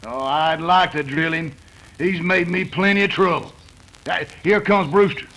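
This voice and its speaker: A man's voice: a little high, fairly even intonation, unhurried, fairly bright tone, poor audio. A man with an American accent playing a gloating, drawling outlaw boss in an old-time radio western.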